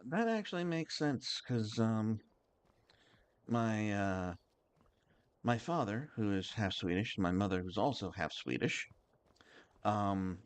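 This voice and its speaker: A man hosting a game livestream, chatting casually in a halting, rambling way. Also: on the low side, lively intonation, clean tone. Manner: halting and rambling, many restarts. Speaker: game streamer, host.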